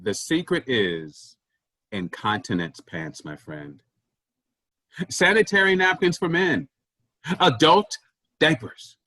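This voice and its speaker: A man's voice: medium pitch, sing-song, slow, long pauses, sharp tone, dry recording, heavily acted. A man in a staged comedy reading playing a pushy, self-important office boss who preaches efficiency emphatically. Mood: conspiratorial, excited.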